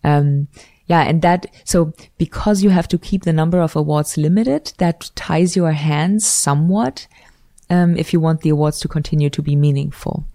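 A woman's voice: low-pitched, warm tone, no echo. A woman, a guest researcher explaining ideas thoughtfully in an interview and often correcting herself mid-sentence. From Switzerland. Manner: thoughtful, academic, frequent self-corrections. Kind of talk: conversational interview.

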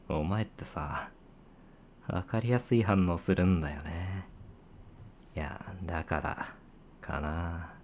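Guy doing a low-voiced office senpai, teasing and laid-back, talks slow, drama cd vibe. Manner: teasing, casual, drops into hushed asides. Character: teasing male senior coworker, playful, secretly fond. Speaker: voice actor. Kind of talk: situation voice drama.

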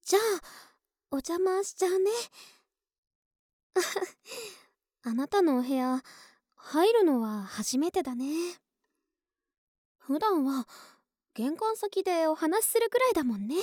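Young neighbor woman, played by an actress, ASMR audio drama: cutesy, intimate, coaxing, unhurried delivery in a dry recording. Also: very high voice, very animated, darkish tone, no echo, exaggerated voice. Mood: delighted. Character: friendly, clingy young neighbor woman, older-sister type. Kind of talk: Japanese ASMR situation voice drama acting.

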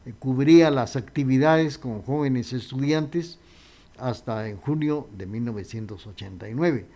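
A man's voice: medium pitch, unhurried, mellow. A male radio host, talking casually and unhurriedly with frequent hesitations.

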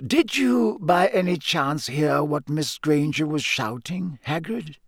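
A man performs an elderly wizard headmaster from a storybook. The voice sits high and swoops gently through measured, formal phrases. He is calm and authoritative, with a dry, whimsical wit. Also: creaky, no echo, full character voice. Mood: gently pointed. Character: wise old male wizard headmaster, wry, calm and authoritative.

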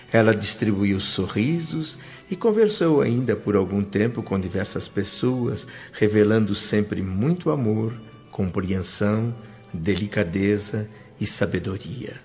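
An actor playing an earnest, grateful newcomer who narrates his story in a measured, formal Brazilian voice for a radio serial. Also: lowish voice, darkish tone. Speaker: narrator and protagonist. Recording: dull radio-drama recording with music. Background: music.

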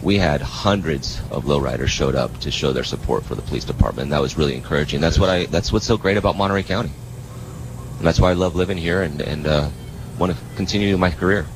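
A man with an extremely deep voice talks quickly in a radio interview. He sounds earnest and plain-spoken, and there is clear noise around him. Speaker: political candidate for sheriff, guest. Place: live on location radio broadcast. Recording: clear background noise, live location radio.

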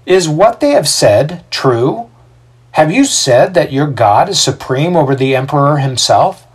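A man's voice: fairly high pitch, very animated, measured pace, breathy edge, pitched above the performer's own voice, heavily acted. A man voicing a stern, impatient Roman magistrate who commands and interrogates in a story. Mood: stern questioning.

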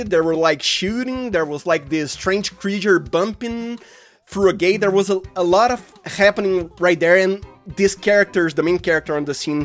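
A man with a Brazilian accent and Portuguese as his first language chats casually as an interview guest, hesitating and restarting words as he goes. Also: fairly high pitch. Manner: hesitant, halting with repeated word starts. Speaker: podcast guest, sound designer and voice actor.

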